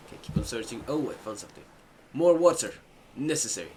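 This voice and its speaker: A man's voice: medium pitch, sing-song, quick pace, dark tone. A man giving casual playthrough commentary, thinking aloud and talking back to the game as he goes. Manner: reactive, muttering to himself and answering the game. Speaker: gaming video host. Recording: clearly noisy background.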